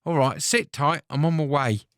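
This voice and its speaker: A man's voice: a little high, normal speed, fairly bright tone, dry recording, clean studio sound, voice pushed up. A man voicing an easygoing, cheeky young man in an audiobook.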